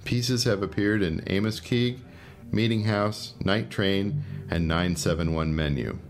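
A man's voice: on the low side, measured pace, faint music behind. A man reading scripted announcements as the host of an audio podcast. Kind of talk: scripted narration.

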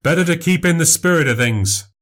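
A man voices an eager memory showman in this spoken story, pitched up from the reader's own voice. He is formal and overly polite, putting on a show for the crowd. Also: animated, brisk, fairly bright tone. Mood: showy, polite. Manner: showy, overly polite, says "sir" and "madam".

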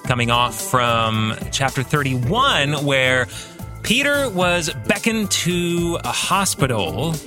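A man in his forties hosting a podcast in a scripted monologue, with a deliberate, emphatic presenter's delivery. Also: medium pitch. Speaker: podcast host and author.

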